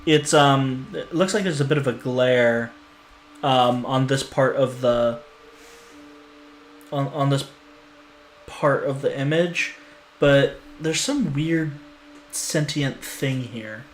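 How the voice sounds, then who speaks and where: A man's voice: medium pitch, takes it slowly, long pauses, faint music behind. A man talking casually on a stream, hesitant and full of fillers and restarts. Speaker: lore streamer. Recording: echoey room with faint noise.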